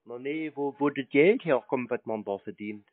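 A man's voice: medium pitch, moderate pace. A man chatting casually on the phone in a laconic, colloquial and naive-sounding way. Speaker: prank call recipient. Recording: dry, narrow phone-band sound.

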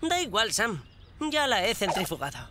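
A woman's voice: medium pitch, big pitch swings, moderate pace, heavy background noise, natural voice. A woman voicing a mischievous, eager young boy in a dubbed cartoon, talking in a cheeky, wheedling way. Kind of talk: cartoon dubbing.

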